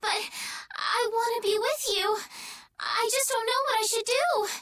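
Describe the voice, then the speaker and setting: An actress playing a gentle young princess who pleads in distress in a game cutscene. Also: female voice, very high-pitched, unhurried. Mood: anguished. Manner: pleading, anguished.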